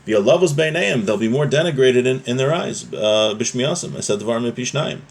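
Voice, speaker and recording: Male religious teacher, mid-pitched, informal and explanatory, moving between reading a legal text and commenting on it. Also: expressive, moderate pace. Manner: explanatory, often checking with "right?".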